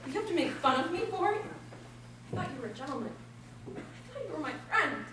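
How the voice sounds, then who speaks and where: A woman's voice: high voice, big pitch swings, quick pace. A woman playing an insecure, lovelorn young woman in a stage play, emotional and pleading, recorded from far off in an echoey hall. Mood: hurt. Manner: emotional, pleading, self-pitying. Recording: echoey hall, distant mic, noisy.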